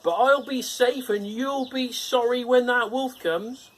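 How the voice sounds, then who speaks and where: Man doing a squeaky little pig. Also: steady tone, a little slow, fairly bright tone, slight background noise. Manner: high squeaky cries. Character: small, timid young male pig.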